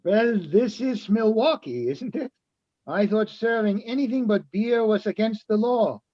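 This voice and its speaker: A man plays a jovial, semi-retired Austrian electrician in an old-time radio play. His voice sits high for a man, with a German accent and a folksy manner. The recording is dull and dry, with little room sound. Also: a little slow, no room sound. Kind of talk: amateur readers' theatre radio play.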